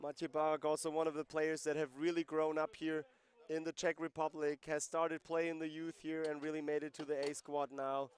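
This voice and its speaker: A man's voice: a little high, flat delivery, moderate pace, clear, resonant tone. A man giving live play-by-play commentary on a lacrosse game, conversational and explaining rules and player backgrounds between plays.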